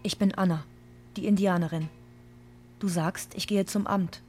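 A woman's voice: medium pitch, fairly even intonation, unhurried, darkish tone, faint music behind, close to an everyday voice. A woman playing a guarded, hurt immigrant mother in a radio play, telling it in short, plain, repetitive sentences. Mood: plain, insistent. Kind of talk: literary monologue in a radio play.

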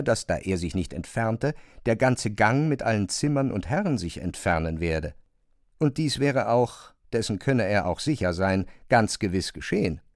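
A man narrates literary prose in a scripted radio drama. His mid-pitched voice moves widely up and down, and the sound is close and dry, with almost no room on it. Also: no echo.

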